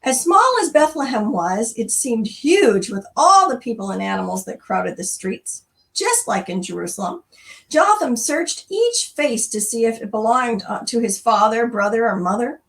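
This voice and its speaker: Higher-voiced woman hosting storytime, slightly distant. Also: moderate pace. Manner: conversational, expressive, addresses listeners directly. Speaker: church storytime host. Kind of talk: informal devotional talk with story reading.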